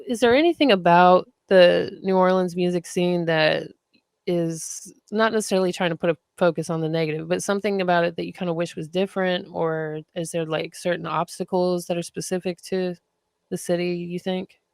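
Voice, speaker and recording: A podcast host with a gravelly, fry-laden voice asks questions in a chatty, upbeat way, sprinkling in plenty of fillers. The sound is dry and close, with little room reflection. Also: mid-range voice, steady tone, moderate pace, no room sound. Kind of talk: casual podcast interview.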